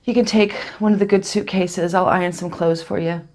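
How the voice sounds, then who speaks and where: A woman performing a dramatic stage monologue as a hurt, bitter wife confronting her husband, intense and controlled, with a breathy edge. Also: on the low side, fairly even intonation, a little fast, darkish tone, lightly acted. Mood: cold, resolute. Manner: intense, accusing, controlled, dropping to near-whispers.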